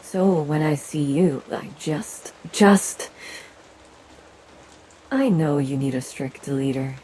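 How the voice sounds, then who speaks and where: Controlling, bitter female handler, played by an actress: low, mellow, stern and menacing audio drama delivery, with rain behind her. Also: slow, a little hiss or hum, poor audio. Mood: intense, controlling.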